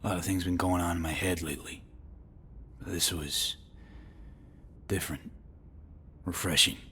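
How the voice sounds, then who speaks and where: Gravelly, world-weary male mercenary. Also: steady tone, measured pace, sharp tone, a little hiss or hum, exaggerated voice. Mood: guarded, determined. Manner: low-key, terse, brooding. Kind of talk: naturalistic video game acting.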